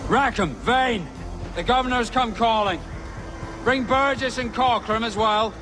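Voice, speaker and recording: An actor playing a proud, disapproving middle-aged pirate captain in a stern, measured game performance. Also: male voice, very high voice, music in the background. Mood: guarded. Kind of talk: video game cutscene acting.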